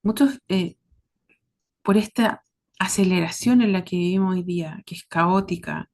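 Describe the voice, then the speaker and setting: A woman with a Chilean accent giving a reflective monologue to her listeners, speaking earnestly and deliberately in short, paused phrases. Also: on the low side, vocal fry, a little mellow. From southern Chile. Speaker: host of a series about caregiving.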